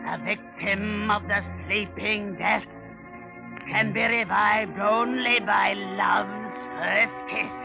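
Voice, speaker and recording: A woman's voice: mid-range voice, fairly even intonation, takes it slowly, vocal fry, over music. A woman playing a gloating, cruel old witch queen in a 1930s radio drama, theatrical and cackling. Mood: scheming. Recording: vintage 1930s radio broadcast.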